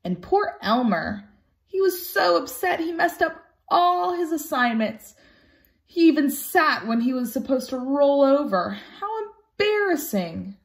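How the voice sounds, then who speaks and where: A woman reading a children's picture book aloud expressively and deliberately, as a storytime teacher. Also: sits high, big pitch swings. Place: small room at home, indoors. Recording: roomy home recording.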